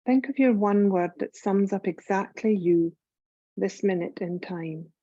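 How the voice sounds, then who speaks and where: Slow, mellow woman guiding meditation. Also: mid-range voice, dry recording, highs cut off. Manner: calm, soothing, unhurried, with long pauses. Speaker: yoga nidra guide. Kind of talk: guided meditation, scripted.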